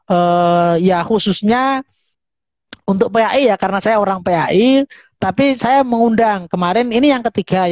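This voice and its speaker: A woman's voice: medium pitch, darkish tone, dry recording. Javanese-accented woman hosting, close dry sound. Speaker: host, university staff member. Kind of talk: informal online live talk and Q&A.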